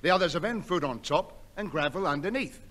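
A man's voice: on the high side, lively intonation, brisk, lightly acted. An actor playing a sarcastic small-time conman, bossy and wisecracking in 1960s radio sitcom style. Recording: noisy old radio broadcast.

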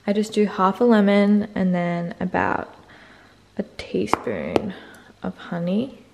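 A chatty young woman talks to her camera with easy giggles. Her voice sits at an ordinary pitch. The room around her rings a little. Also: fairly even intonation, slow, vocal fry, echoey room, muffled recording, noisy background. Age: 20s. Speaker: travel vlogger. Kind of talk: casual vlog chat to camera. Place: holiday rental house, indoors. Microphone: handheld camera at arm's length.

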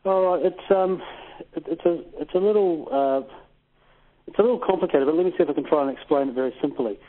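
A man with a New Zealand accent, a professor explaining things carefully and haltingly as an interview guest over the telephone. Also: a little high. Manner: hesitant, careful, simplifying science. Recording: tinny phone line.

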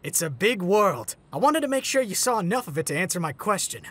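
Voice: medium pitch, sing-song, fairly quick, a bit bright. An actor voicing a glib, unruffled, teasing young trickster in a comic dub. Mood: teasing, smug. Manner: breezy, glib, conversational. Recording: music behind.

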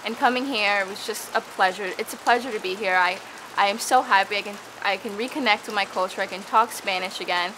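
A young woman from the Dominican Republic, a student researcher, talking eagerly to camera in a casual interview outdoors among tropical plants. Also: medium pitch. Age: young adult. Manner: eager, bubbly, quick restarts. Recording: steady rain noise behind.

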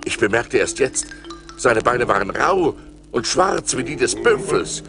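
An actor playing a seafarer who recounts his perilous adventures in a theatrical radio play style, moving between hushed and urgent. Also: medium pitch, big pitch swings, a little slow. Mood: gentle, measured. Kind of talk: dramatic radio play narration. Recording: vinyl record transfer with music behind.

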